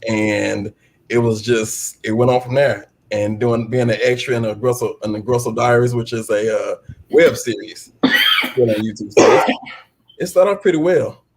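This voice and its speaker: An American man answering interview questions casually, in an unhurried, reflective way. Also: mid-range voice, a little mellow, a little hiss or hum, low-quality recording. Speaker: stage actor, interview guest.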